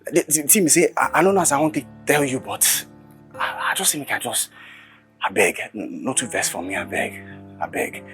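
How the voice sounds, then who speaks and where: An eager young Nigerian man in a film drama, speaking Pidgin-style with big swings in pitch. He is devoted and emphatic, repeating himself, with music playing behind him. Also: big pitch swings, normal speed. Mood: nervous, pleading. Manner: pleading, repetitive, emphatic.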